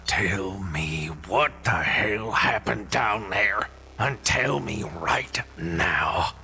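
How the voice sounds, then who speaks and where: A hard, intimidating old man in a supernatural audio drama. His voice is very low and edged, with a slow delivery. He is gruff, foul-mouthed and used to giving orders. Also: expressive, pushed and bright, slight background noise, exaggerated voice. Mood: stern, suspicious. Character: stern, foul-mouthed old one-eyed demon-hunting man, commanding, suspicious.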